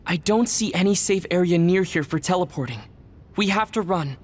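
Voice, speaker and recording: An actress playing a serious, level-headed young psychic fighter in a video game. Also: female voice, lowish voice, normal speed, a little mellow, close to an everyday voice. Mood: serious, concerned. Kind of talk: English video game cutscene dub.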